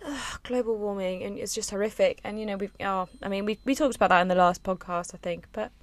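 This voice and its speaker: A young woman hosting a casual solo podcast, rambling and chatty with sighs and filler words, her voice lower and a little husky after a late night. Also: fast, no room sound. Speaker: podcast host. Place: on a boat, small space.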